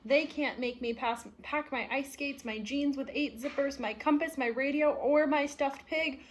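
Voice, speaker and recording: A woman's voice: high voice, normal speed, natural voice. A woman reading the part of a stubborn, indignant young boy from a picture book, with emphatic delivery. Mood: defiant. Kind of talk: picture-book read-aloud.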